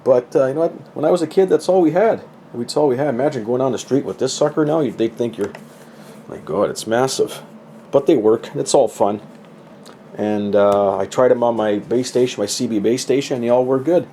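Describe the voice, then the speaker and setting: A man's voice: medium pitch, a little fast, warm tone, a little hiss or hum. A middle-aged man giving a casual, rambling, folksy show-and-tell of his gadgets, with a self-deprecating way of talking. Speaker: hobbyist presenter.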